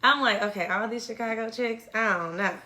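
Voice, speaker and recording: A bright-voiced woman co-hosts a casual podcast, recalling events with frequent laughter and a quieter tone in her reflective moments. The sound is roomy and echoing, as if recorded in a bare room. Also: fairly high pitch, a little fast. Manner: laughs often, drops softer when reflecting. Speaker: podcast co-host. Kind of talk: casual storytelling chat. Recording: roomy echo.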